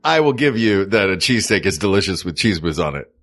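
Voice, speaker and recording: A man with a fairly low voice jokes his way through a podcast story, teasing his co-host. His delivery is animated, rising and falling a lot as he goes. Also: quick pace. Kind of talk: casual comedy chat.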